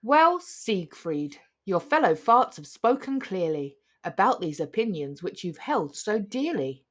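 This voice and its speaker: A woman's voice: medium pitch, sing-song, measured pace. A woman voicing a kindly old storybook elder, delivering an admonishing speech in a pronounced manner. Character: eldest fart, wise old board leader, kindly lecturing.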